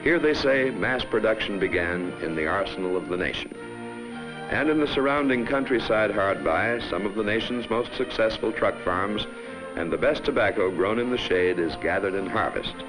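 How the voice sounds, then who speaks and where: Low-voiced 1950s promotional film narrator, male. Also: expressive, low-quality recording. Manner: measured, formal announcer delivery. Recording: vintage 1950s film soundtrack. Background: background music.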